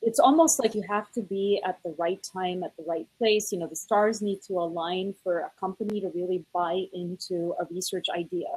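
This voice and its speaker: A woman with a somewhat breathy, grainy voice a little on the low side explains things as a research manager on a panel. She is measured, and the sound is dry with little room around it. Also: steady tone, a little mellow, dry recording, low-quality recording. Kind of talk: conference panel storytelling.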